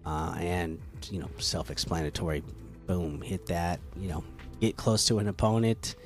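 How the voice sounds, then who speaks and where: A man hosting a casual gaming podcast, chatty and relaxed with lots of fillers, slightly hoarse from a cold. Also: sits low, moderate pace, soft background music. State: catching a cold, losing voice. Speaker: podcast host.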